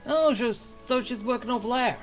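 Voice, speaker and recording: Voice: mid-range voice, moderate pace, faint music behind. A game streamer giving casual playthrough commentary, joking along and reacting to the dialogue.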